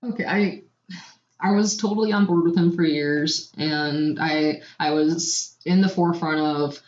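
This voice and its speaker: Woman on a podcast just chatting, kinda low voice, reacts a lot, muffled lo-fi sound w room echo. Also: steady tone, a little slow, a little mellow, a little hiss or hum. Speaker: podcast co-host. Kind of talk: casual chat. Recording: dull, lo-fi, echoey room.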